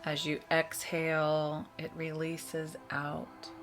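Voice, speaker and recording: A woman leading a guided meditation, giving soothing, measured instructions with long pauses between phrases. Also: deep voice, monotone. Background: meditation music.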